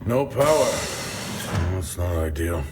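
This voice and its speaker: Wry, weary space engineer. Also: male voice, deep voice, big pitch swings, takes it slowly, over music, exaggerated voice. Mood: musing. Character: wry, tired male space engineer exploring an abandoned mining base. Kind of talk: naturalistic video game acting.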